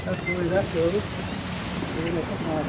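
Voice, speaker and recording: A man's voice: high voice, fairly even intonation, measured pace. A middle-aged man from Long Island, New York, chatting casually as a talkative, polite and emphatic vlog host. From Long Island, New York, USA. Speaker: host of civil-rights audit vlog. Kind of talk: casual chat with people he meets. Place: post office and its outdoor car park. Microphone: camera rig held at chest or face. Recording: clear outdoor and background noise.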